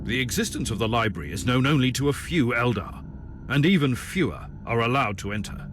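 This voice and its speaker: A man playing a stern, guarded psyker Inquisitor in a video game, speaking formally and gravely in terse phrases. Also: mid-range voice, expressive, brisk, a bit bright, a little hiss or hum, close to an everyday voice. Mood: wary. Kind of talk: dramatic video game dialogue. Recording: music behind the voice.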